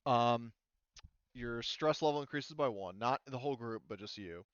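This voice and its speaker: A man with a clear, smooth voice runs a tabletop game as game master. His talk is informal and casual, and the audio is dry, close and slightly dull. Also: mid-range voice, normal speed, no echo. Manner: informal, swears. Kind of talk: casual tabletop game moderating over online session.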